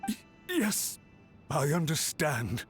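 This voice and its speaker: A nervous underling official in a video game, his voice pitched fairly high. He is contrite and deferential, pleading with his superiors, with music underneath. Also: big pitch swings, takes it slowly. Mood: cowed, grateful. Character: anxious, servile male clan official, pleading and contrite. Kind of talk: scripted video game voice acting.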